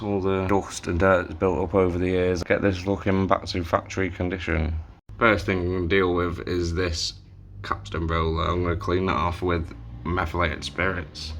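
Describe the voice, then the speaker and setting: A man with a low-pitched voice narrates a hands-on repair job in a casual way. He thinks aloud as he works, often halting mid-sentence to find the next word, like an informal host showing each step. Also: slight background noise. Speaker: host of a tape deck repair video.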